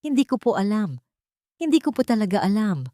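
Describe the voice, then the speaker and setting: A woman's voice: medium pitch, sing-song, a little fast, mellow, dry recording, clean studio sound, close to an everyday voice. A woman voicing a tearful young janitress who pleads her innocence in a trembling voice, in an audiobook drama. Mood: tearful pleading. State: crying.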